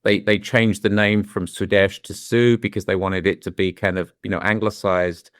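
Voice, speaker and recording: A deep-voiced, middle-aged British man talks things through with candour, pausing and restarting to find his words. His voice is smooth and resonant, and the recording is close and dry. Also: flat delivery, a bit bright, no room sound. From London, England. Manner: thoughtful, with frequent restarts and "you know"s. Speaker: guest. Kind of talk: candid reflective conversation.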